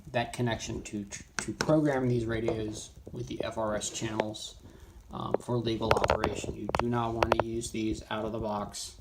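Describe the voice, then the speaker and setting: A man presenting an informal, off-the-cuff software tutorial, halting and rambling as he thinks aloud. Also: medium pitch. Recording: clearly noisy, with keyboard clicks.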